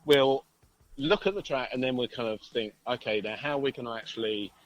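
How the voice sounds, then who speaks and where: A man speaking as a musician guest in a casual interview, explaining things in a rambling, halting way with many false starts. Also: mid-range voice, rough recording. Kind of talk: casual chat.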